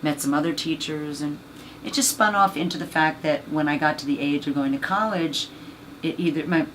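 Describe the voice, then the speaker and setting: A woman with a husky, low voice, chatting as an interview guest about her acting career, rambling and filling gaps with "you know.". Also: moderate pace, a bit bright, a little hiss or hum, rough recording. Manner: rambling, many fillers. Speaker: actress and voice actor guest. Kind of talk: casual interview chat.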